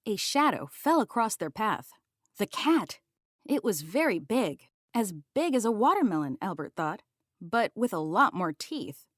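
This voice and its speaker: Very animated woman reading a picture book. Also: medium pitch, unhurried, no echo, clean studio sound. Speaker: read-aloud narrator. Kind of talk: children's picture-book narration.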